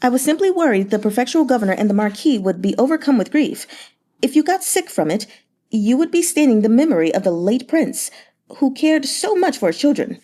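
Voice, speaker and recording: A woman voicing a fawning, smooth-talking young male merchant with a lilting, wheedling way of speaking. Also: a little high, sing-song, a little fast, mellow, voice pushed up. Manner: lilting, wheedling, full of little interjections.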